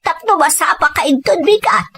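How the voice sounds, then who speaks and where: A woman's voice: high-pitched, big pitch swings, normal speed, a bit bright, a little hiss or hum. A woman playing an elderly grandmother in an audio drama, fretful and admonishing as she cautions her grandchild. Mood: worried, cautioning. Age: older.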